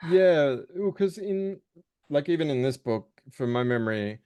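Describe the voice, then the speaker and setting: A man's voice: a little high, expressive, measured pace, dark tone, clear, resonant tone, dry recording, clean studio sound. A man in casual conversation, talking in a thoughtful, tentative way. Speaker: voice actor, guest.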